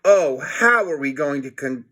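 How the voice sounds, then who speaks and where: Storybook schoolboy leader read by a man, bright, forceful, bossy and wry. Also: fairly high pitch, animated, unhurried. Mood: worried.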